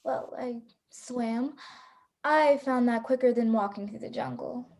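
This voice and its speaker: A woman's voice: on the high side, unhurried, creaky, a little mellow. A woman playing a hunted, defiant hunter in a radio play, terse, dramatic and grimly resolute.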